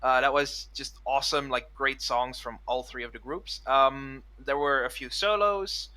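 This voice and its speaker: A man's voice: mid-range voice, a little slow, bright, forceful tone. A man co-hosting a casual podcast over a video call, talking in an upbeat way and eagerly listing things. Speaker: podcast co-host. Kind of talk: casual chat.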